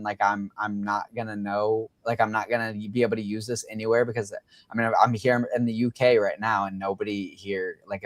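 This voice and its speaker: A man's voice: fairly low pitch, no room sound. A young man hosting a livestream, chatting casually and rambling with lots of fillers. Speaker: livestream host.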